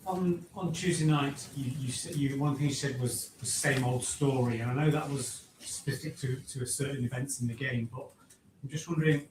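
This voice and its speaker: A man asking a press conference question, soft-spoken and hesitant. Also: mid-range voice, moderate pace, long pauses, husky, no echo, poor audio. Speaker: journalist.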